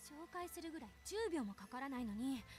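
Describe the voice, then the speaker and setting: Sharp-tongued, high-voiced young woman. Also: lively intonation, rapid-fire. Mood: indignant. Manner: blunt, irritated. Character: sharp-tongued, proud eighteen-year-old young woman genius. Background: game music.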